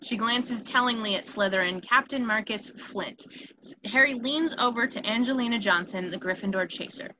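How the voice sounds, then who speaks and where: A woman's voice: fairly high pitch, normal speed, pushed and bright, slight background noise, rough recording. A woman reading stage directions as narrator of a screenplay table read.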